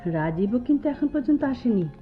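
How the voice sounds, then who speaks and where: A woman's voice: fairly high pitch, lively intonation, fairly quick, lightly acted. A woman playing a playful, gossipy young student in a radio drama. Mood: playful gossip. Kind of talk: Bengali radio drama acting. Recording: muffled audio over music.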